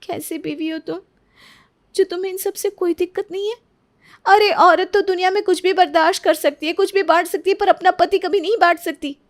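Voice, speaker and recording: A woman's voice: very high-pitched, steady tone, normal speed, dark tone, pitched above the performer's own voice. A woman voicing a heartbroken young wife, crying and pleading, with bitter outbursts.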